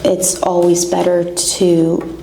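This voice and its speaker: A woman from Canada speaks as an interview guest in a low, gravelly, mellow voice. She talks very slowly, in short, halting phrases. The room is echoey, with faint noise underneath. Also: monotone.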